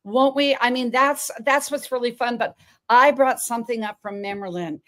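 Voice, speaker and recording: A woman's voice: fairly high pitch, fairly even intonation, moderate pace, a bit bright. A woman hosting an online talk, chatty and effusive, often restarting her sentences. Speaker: host. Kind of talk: casual interview chat.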